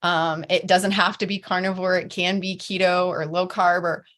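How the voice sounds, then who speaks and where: A woman hosting an online support group, talking slowly and casually with many fillers. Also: on the low side, little pitch movement, fairly bright tone. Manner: slow, filler-heavy, friendly.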